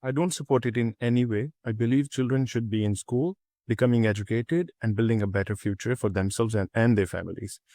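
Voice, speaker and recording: A young man from Pakistan, a student, reading a prepared reflection in a steady, earnest way with small restarts. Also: mid-range voice, fairly quick, no room sound, studio quality.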